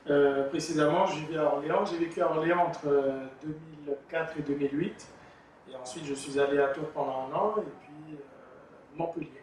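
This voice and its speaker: Soft-spoken Congolese-born man in an echoey room. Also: a little high, steady tone. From Republic of the Congo. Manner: measured, hesitant with frequent fillers. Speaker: author and illustrator guest. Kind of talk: radio interview answers. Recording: echoey room, distant mic, faint noise.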